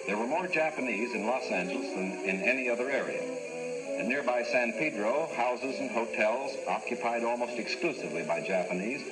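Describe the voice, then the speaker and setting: A man reading formal, scripted 1940s newsreel narration in a measured, authoritative official tone. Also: medium pitch, rough recording. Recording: old 1940s newsreel soundtrack with music. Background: music score.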